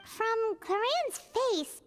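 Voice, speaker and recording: Squeaky, tiny childlike companion. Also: female voice, expressive, very slow, big-room sound, full character voice. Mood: unsure. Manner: speaks of self in third person. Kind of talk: video game voice acting.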